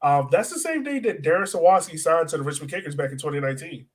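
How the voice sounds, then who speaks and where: A man's voice: on the high side, animated, quick pace, slightly rough. A man hosting a casual sports podcast, informal and conversational, often posing questions to his co-host.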